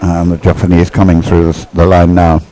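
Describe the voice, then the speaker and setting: A man's voice: low voice, monotone, brisk, dark tone, dry recording, faint music behind. A man giving live race commentary in a rambling, hesitant, informal way, explaining as it goes. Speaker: sports commentator.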